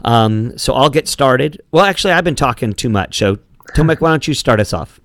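A man's voice: medium pitch, expressive, normal speed, a bit bright, no echo. A man in his forties hosting a podcast in easygoing, joking chat, asking his guest questions. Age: 40s. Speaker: podcast host.

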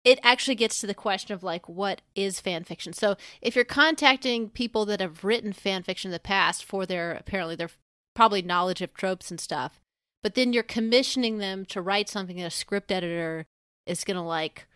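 A woman's voice: medium pitch, fairly quick, a bit bright, clean tone, no echo, clean studio sound. A woman co-hosting a podcast in casual discussion, thinking aloud in a rambling, hedged way. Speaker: podcast co-host.

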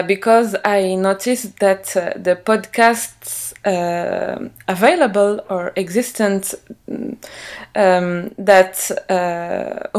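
A woman's voice: mid-range voice, darkish tone. A woman from Morocco, a non-native English speaker, answering as a podcast guest and hesitantly searching for words. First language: not English. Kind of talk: interview answers.

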